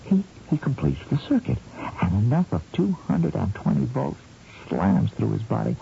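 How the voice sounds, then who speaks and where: An actor playing a practical, steady husband in a 1970s radio drama, with a low, gravelly voice. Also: male voice, normal speed, exaggerated voice. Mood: urgent, planning. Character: practical, steady husband outwitting a hired killer. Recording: muffled, noisy 1970s radio recording.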